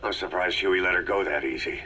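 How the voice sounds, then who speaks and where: A man playing a gruff, stoic, terse mercenary commander in a video game audio drama, with a gravelly, husky voice. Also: deep voice, a little fast, sharp tone, a little hiss or hum. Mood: grim, terse.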